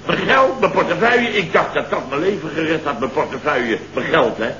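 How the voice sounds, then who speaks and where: A man's voice: on the high side, expressive, fairly quick, pushed and bright. An actor playing a blustering middle-aged father in a late-sixties Dutch radio comedy, telling stories with theatrical indignation and mimicked quotes. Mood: excited, theatrical. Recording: dull, roomy, noisy old radio recording.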